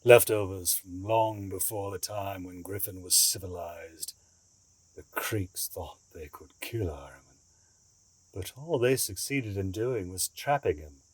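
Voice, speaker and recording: An actor playing a cunning, calmly menacing escaped asylum inmate in an audio drama, deliberate, theatrical and condescending, with a husky voice. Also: male voice, deep voice, no echo. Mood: fervent, grave.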